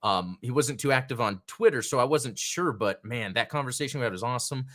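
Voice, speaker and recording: A man hosts a relaxed live stream and talks in loose, rambling sentences. He drifts from one thought to the next, then stops to read out names from the chat. His voice sits at an ordinary middle pitch. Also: a little fast. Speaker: podcast host.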